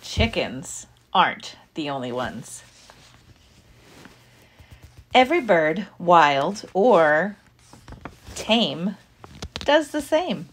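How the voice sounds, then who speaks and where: A woman reading a children's picture book aloud like a teacher, with deliberate, expressive phrasing for young listeners. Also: on the low side, slight background noise.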